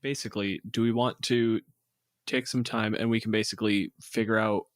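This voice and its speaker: Low-voiced man, casual and dry-sounding. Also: fairly even intonation, normal speed, no echo. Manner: informal, sweary. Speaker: podcast co-host, physicist. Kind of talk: casual planning chat.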